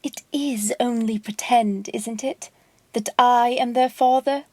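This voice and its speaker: A woman's voice: on the high side, fairly even intonation, measured pace, no room sound. A woman playing a proud, carefree boy who refuses to grow up, in an audio drama. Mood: uneasy.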